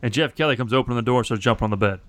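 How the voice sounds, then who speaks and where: A man's voice: mid-range voice, very fast, very noisy. A man co-hosting a podcast, chatting casually and crudely, telling blunt, profanity-laced stories. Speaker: podcast co-host.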